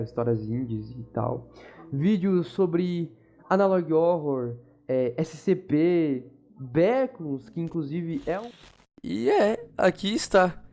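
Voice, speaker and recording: A man's voice: mid-range voice, a little mellow. A man with a Brazilian accent doing informal video narration as a channel co-host. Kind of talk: informal video narration about analog horror. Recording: music behind the voice. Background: background music.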